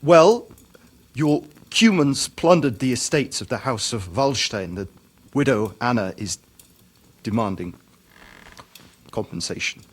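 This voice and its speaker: A man playing a nervous, deferential medieval messenger in a video game, halting and apologetic as he reports. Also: mid-range voice, expressive, husky. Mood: fearful, hesitant. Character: nervous, deferential royal messenger dreading his master's temper. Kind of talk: video game cutscene acting.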